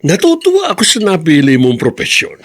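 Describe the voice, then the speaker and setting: A man's voice: on the high side, huge pitch swings, fairly quick. A Filipino man acting a fond old grandfather in a radio drama, with a hesitant, folksy, tender manner. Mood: fond, proud.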